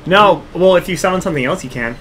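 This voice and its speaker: A man's voice: sits high, fast talker, a bit bright. A man giving casual, teasing and sarcastic tips as co-commentator to someone playing a video game. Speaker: co-commentator coaching the player. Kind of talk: casual gameplay banter and tips. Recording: faint game noise behind voice.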